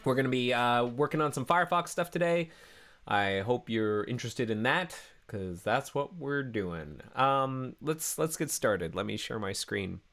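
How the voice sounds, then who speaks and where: A man's voice: mid-range voice, expressive, a little slow, fairly bright tone. Stuffy-nosed guy chatting on his coding stream, easygoing, keeps interrupting himself. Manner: rambling, self-interrupting, easygoing. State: congested from a cold. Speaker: host of a coding livestream. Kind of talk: casual live-stream chat.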